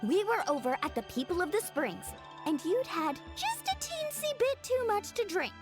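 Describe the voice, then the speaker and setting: A woman's voice: extremely high pitch, sing-song, moderate pace. A woman voicing a bubbly young girl in an anime-style game, theatrical and flustered in mock outrage, with music behind her. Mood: exasperated.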